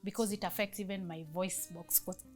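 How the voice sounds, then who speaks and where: A woman guest talking about her own health condition, hesitant and halting, with frequent fillers as she explains. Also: mid-range voice, steady tone, faint music behind. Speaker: guest sharing a personal health story. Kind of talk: demonstrating and explaining.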